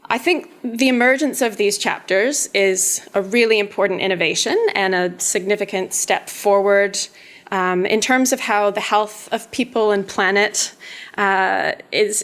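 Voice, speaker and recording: A woman with a Canadian accent, a health researcher giving an earnest, enthusiastic conference talk with some fillers. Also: medium pitch.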